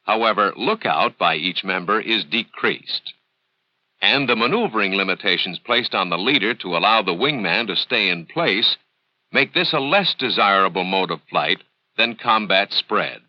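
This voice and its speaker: Deep American narrator, dull vintage film sound. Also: male voice, animated, normal speed, dry recording. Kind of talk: formal scripted instructional narration. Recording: dull, dry 1970s training film soundtrack.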